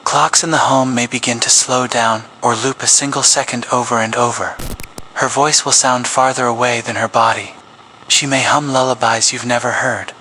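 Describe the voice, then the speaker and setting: A man reading a scripted public safety announcement as an emergency broadcast announcer, in a grave, measured official tone. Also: medium pitch, pushed and bright, a little hiss or hum, poor audio. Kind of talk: scripted horror narration.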